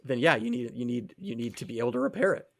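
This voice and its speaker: A man's voice: mid-range voice, big pitch swings, fast talker, gravelly. A man co-hosting a podcast, talking casually and explaining his opinions on video games. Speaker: podcast co-host.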